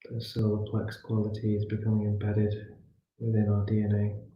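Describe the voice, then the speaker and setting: A man leading a guided meditation as a class teacher, speaking soothingly in short phrases with pauses between them. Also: lowish voice, monotone. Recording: muffled echoey room, distant from mic.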